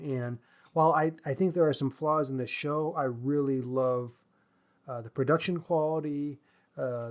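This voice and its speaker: A man co-hosting a podcast, chatting casually and thinking aloud with somewhat hesitant phrasing. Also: mid-range voice. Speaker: podcast co-host. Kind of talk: casual chat.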